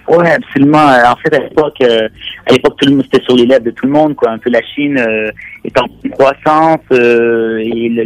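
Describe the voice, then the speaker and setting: A man in his thirties from Montreal with a Québécois accent, answering interview questions in a halting way full of fillers and repeated words. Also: mid-range voice, low-quality recording. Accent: Québécois French. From Quebec, Montreal. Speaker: guest, Canadian expatriate.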